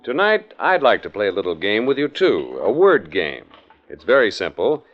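A man's voice: on the low side, huge pitch swings, moderate pace, loud music behind the voice. A polished, salesmanlike man announcing and advertising in 1940s radio style, on a muffled vintage broadcast recording.

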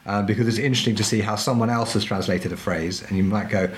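A man's voice: fairly low pitch, fairly even intonation, quick pace, a little hiss or hum. A middle-aged man talking casually as a podcast guest, a historical fencing instructor who speaks in a chatty, emphatic way and often checks in with "right?". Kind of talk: casual interview chat.

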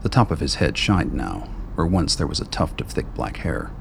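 A man narrating a radio play in a measured, grave way. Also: a bass voice, very animated, creaky, slight background noise.

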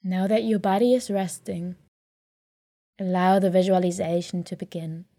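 A woman leading a guided sleep meditation in a calm, soothing, gentle way, leaving long pauses between phrases. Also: on the low side, no room sound, clean studio sound. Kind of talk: guided sleep meditation, soft scripted narration.

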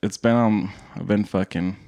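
A man's voice: fairly low pitch, fairly even intonation, moderate pace, mellow, no room sound, studio quality. A young man telling rambling stories in casual chat, laughing and swearing, tired from staying up all night.

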